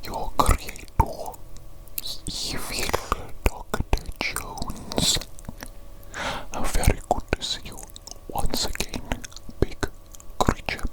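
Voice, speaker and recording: A man in a whispered ASMR roleplay, playing a fussy, pedantic evil doctor who repeats himself slowly and precisely. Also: lightly acted, rough recording. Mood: fussy, coaxing. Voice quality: soft unvoiced whisper. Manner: slow, repetitive, fussy and precise.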